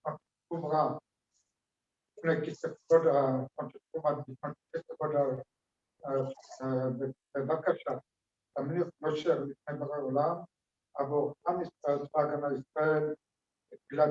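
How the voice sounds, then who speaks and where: A man's voice: a little high, steady tone, darkish tone. Hesitant man eulogizing in a roomy hall. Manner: fragmented, hesitant, with slow drawn-out phrases. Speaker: family member giving a eulogy. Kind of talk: funeral eulogy. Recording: echoey hall, distant dull mic.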